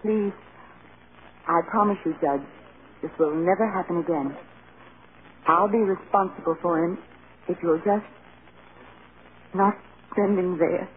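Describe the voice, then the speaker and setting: Actress as an earnest, devoted wife on tinny old radio. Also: female voice, on the low side, measured pace, long pauses, natural voice. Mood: pleading. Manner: earnest, pleading, emotional. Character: devoted, anguished film star wife, earnest and self-sacrificing. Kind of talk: 1930s radio acting. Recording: scratchy, tinny 1930s radio broadcast.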